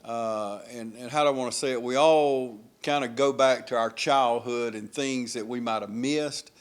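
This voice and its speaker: A man's voice: mid-range voice, steady tone, normal speed, smooth. A man hosting a local TV interview, talking in a folksy, rambling, affirming way. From Dublin, US.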